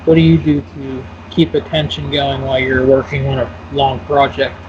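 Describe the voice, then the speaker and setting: A man's voice: medium pitch, level tone, normal speed. A man hosting a casual online interview, loose and rambling, with fillers and self-corrections. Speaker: host and interviewer. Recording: dull lo-fi audio with faint noise.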